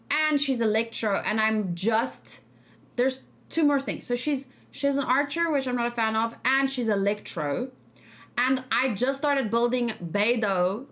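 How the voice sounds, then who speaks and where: A woman with a slightly high voice chats as a livestream host. She thinks aloud in a loose, hesitant way, often rephrasing herself as she gives her reactions to viewers. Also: a bit bright. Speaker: streamer host. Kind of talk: casual livestream reaction and discussion.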